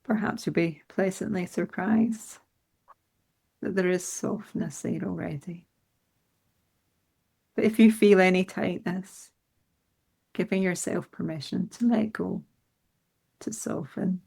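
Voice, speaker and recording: A woman leading a guided meditation in a soothing, unhurried manner with gentle instructions. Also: fairly low pitch, fairly even intonation, creaky, breathy edge.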